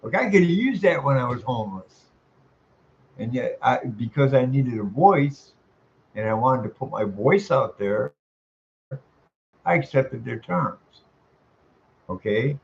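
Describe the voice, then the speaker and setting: A man's voice: mid-range voice, expressive, measured pace, vocal fry, long pauses. An older man making an informal complaint call, emphatic and aggrieved, repeating himself as he rambles. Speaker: caller, tenant making a complaint. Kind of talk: informal phone complaint, venting. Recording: dull lo-fi sound with background noise.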